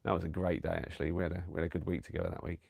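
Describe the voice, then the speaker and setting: A man's voice: low-pitched, level tone, rapid-fire, creaky, no room sound, studio quality. A man, rambling casually to viewers on a live stream from his camper van, a bit bunged up from a cold. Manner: chatty, rambling, warm to viewers, many fillers and restarts.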